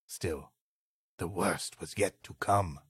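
A man's voice: sits low, slow, husky, no room sound. A man co-hosting a comedy podcast, reading a story aloud and playfully breaking off to riff. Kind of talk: story reading broken up by comic banter.

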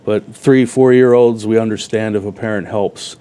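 A man's voice: fairly low pitch, steady tone, moderate pace, warm tone, smooth. A middle-aged man, a guest organizer, explaining event details plainly in a casual studio interview on a lapel mic. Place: TV studio set.

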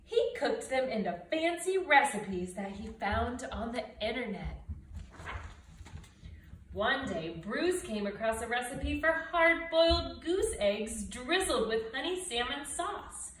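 A middle-aged woman reading a children's storybook aloud in an animated way, with dramatic emphasis. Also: fairly high pitch, takes it slowly. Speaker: storybook reader. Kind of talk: expressive children's read-aloud. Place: indoors, living room by brick fireplace and window. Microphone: camera a few feet away. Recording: roomy, distant mic, faint noise.

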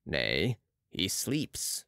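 A man voicing a serene, commanding mesmerist with calm mystical authority in an audiobook. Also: sits low, drawn-out words, vocal fry, no echo, pitched below the performer's own voice, full character voice. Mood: assured. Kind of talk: audiobook character voice.

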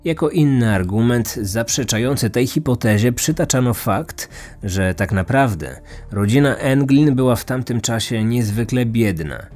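A man narrating a scripted true-crime podcast as host, in a measured, serious manner. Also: on the low side, darkish tone.

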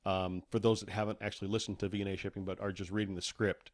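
Male guest, lowish voice, dry close sound, casual interview chat. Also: flat delivery, quick pace, dry recording. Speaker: podcast guest, author and audiobook narrator.

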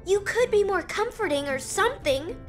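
A woman's voice: extremely high pitch, animated, moderate pace, faint music behind. A voice actress playing a spunky, defensive young female fighter in a video game, sounding pouty.

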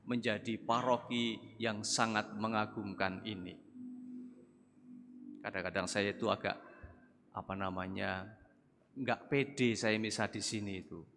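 Older male priest, unhurried conversational sermon, pulpit mic, big echoing church. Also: mid-range voice, pushed and bright, a little hiss or hum. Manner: measured, conversational preaching with rising emphatic bursts. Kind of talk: sermon at a thanksgiving Mass. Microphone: gooseneck pulpit mic. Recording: long church reverb.

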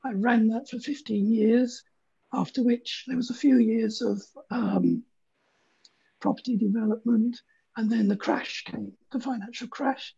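A woman in her sixties with a groggy voice, briskly summing up her career in short clipped phrases on a video call. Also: on the high side, fairly even intonation, vocal fry, mellow, breathy edge. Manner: clipped, list-like, drops into near-whisper. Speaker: panel guest, psychotherapist. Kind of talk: brief spoken career summary. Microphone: laptop mic on video call. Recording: muffled, lo-fi video-call audio.

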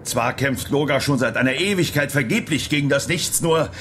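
An actor playing a harried, commanding army general in a children's audio drama. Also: male voice, medium pitch, moderate pace, a bit bright, slight background noise, natural voice. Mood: stern, defensive. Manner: commanding, exasperated.

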